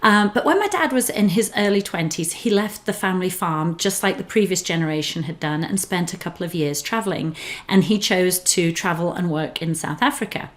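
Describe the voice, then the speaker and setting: A woman's voice: lowish voice, fairly quick. A woman with an English accent who grew up in northern England, speaking as a relocation specialist in an earnest, encouraging talk to camera. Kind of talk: scripted personal talk to camera.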